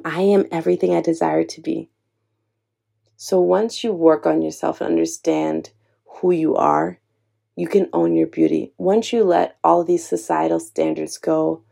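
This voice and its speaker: A young woman with a low, gravelly edge to her voice, speaking in an earnest, encouraging way. Her tone is mellow and relaxed, with a slightly lower pitch than most women. She talks personally and directly to the listener, like a host sharing her own story. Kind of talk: heartfelt personal talk to camera.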